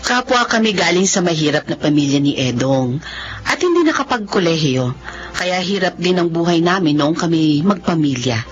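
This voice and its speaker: A woman's voice: fairly low pitch, big pitch swings, normal speed, faint music behind. A woman with a Filipino accent playing a practical, reassuring working-class mother and funeral singer in a radio drama. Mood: matter-of-fact. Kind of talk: radio drama acting and first-person narration. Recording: slightly dull lo-fi radio drama audio.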